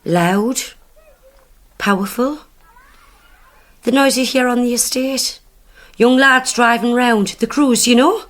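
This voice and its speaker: An actress playing Donna, a young working-class woman, haltingly recalling a night she witnessed. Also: on the high side. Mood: dreamy recall. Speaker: witness under recall questioning. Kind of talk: radio drama acting.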